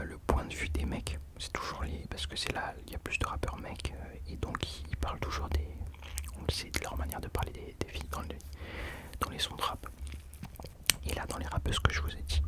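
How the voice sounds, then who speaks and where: A young man whispering casual ASMR commentary as a host, hesitantly searching for his words. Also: fairly quick.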